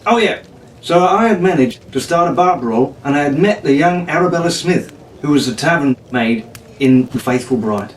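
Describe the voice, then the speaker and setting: A man's voice: a little high, animated, moderate pace, slight background noise. A man reading a pirate story aloud in a playful, theatrical, drawling way. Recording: echoey room from far off.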